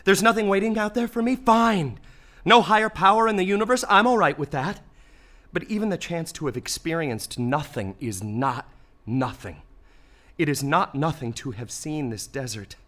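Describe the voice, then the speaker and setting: High-pitched, theatrical dying believer played by a man. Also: normal speed, fairly bright tone, natural voice. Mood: joyful wonder. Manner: melodramatic, exclamatory, wailing and gushing by turns. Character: dying man of faith in desert, theatrical, swings panic to wonder. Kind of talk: comic audio play acting.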